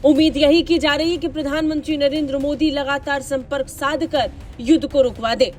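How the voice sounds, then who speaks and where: A woman's voice: high voice, steady tone, moderate pace. A woman reading a scripted news report as a voice-over in a formal, dramatic delivery. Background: music under the voice.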